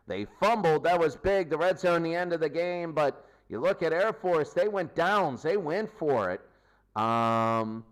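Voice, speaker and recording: Guy doing football commentary, kinda high voice, emphatic, says stuff twice, recapping scores. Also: low-quality recording. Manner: emphatic, repeats phrases. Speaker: sports commentator and post-game show host. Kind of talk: excited sports commentary and game recap.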